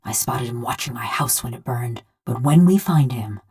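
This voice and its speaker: A woman's voice: extremely low pitch, lively intonation, moderate pace, darkish tone, breathy edge, heavily acted. A woman voicing a game character, a vengeful, wry bronze construct with a village woman's soul, speaking in a folksy, rustic, sardonic drawl. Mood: grim, haunted. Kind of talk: video game character dialogue.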